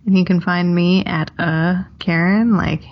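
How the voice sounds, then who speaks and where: A woman hosting a casual podcast chat, relaxed and agreeable. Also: lowish voice, a little slow, dull audio. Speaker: podcast host.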